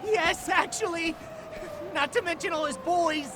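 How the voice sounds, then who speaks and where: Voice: extremely high pitch, a little slow, background noise. An actor playing a frantic, pleading captive lackey in a dramatic video game cutscene. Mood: frantic fear.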